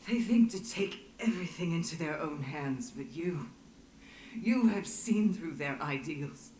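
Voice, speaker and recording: A sly, intense woman of a game's underworld. Her voice is deep and dark, swinging widely in pitch as she insinuates. The room echoes around her. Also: animated, unhurried, a little hiss or hum. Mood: scornful, persuasive. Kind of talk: video game dramatic dialogue.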